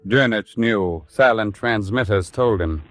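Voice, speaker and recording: A man's voice: on the low side, fairly bright tone, dry recording, faint music behind. A man with an American accent narrating in a grave, oratorical 1940s radio drama style. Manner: grave, oratorical, measured phrasing.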